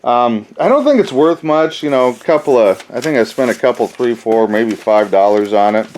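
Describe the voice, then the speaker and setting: A man's voice: mid-range voice, lively intonation, normal speed, darkish tone. A man hosting a casual, unscripted collector's haul video, thinking aloud in a rambling way with folksy phrasing. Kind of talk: casual show-and-tell, unscripted.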